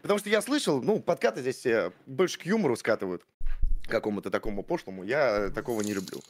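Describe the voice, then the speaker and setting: Animated man in his thirties, halting and gallant. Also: medium pitch, a little hiss or hum. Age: 30s. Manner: hesitant, full of fillers, mock-gallant. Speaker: show contestant flirting with a guest. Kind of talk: playful mock-romantic chat on a stream. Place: streaming room with purple lights. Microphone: studio mic on arm, headphones.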